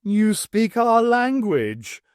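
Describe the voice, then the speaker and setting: Reader doing a big jolly alien overlord, posh english, fruity, slow, dry sound. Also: male voice, high voice, big pitch swings, smooth, no echo, pitched above the performer's own voice, heavily acted. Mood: jovial. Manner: fruity, jovial, pompous. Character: large jovial alien warlord, fruity and pompous.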